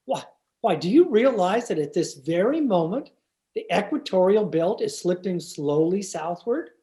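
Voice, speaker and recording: Woman playing mellow, earnest, pedantic male teacher. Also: on the low side, sing-song, normal speed. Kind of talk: 1950s radio comedy acting.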